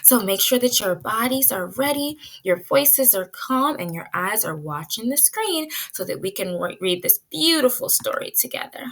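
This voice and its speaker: A woman teaching pre-kindergarten children in an animated, child-directed way, asking questions as she leads a read-aloud. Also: fairly high pitch, normal speed, few pauses, rough recording.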